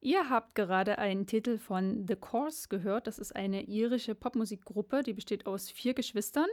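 A woman's voice: medium pitch, moderate pace, no echo, studio quality. A woman presenting a radio programme from a script. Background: loud guitar music.

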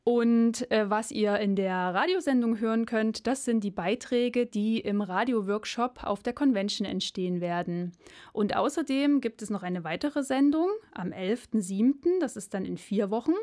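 A woman's voice: fairly high pitch, normal speed, darkish tone. A woman presenting a school radio show, making friendly announcements with a few filler sounds.

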